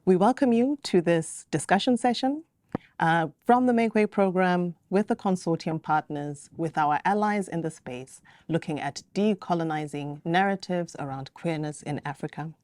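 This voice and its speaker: Measured woman moderating a panel. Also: fairly low pitch, a little mellow. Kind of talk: panel introductions. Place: conference venue.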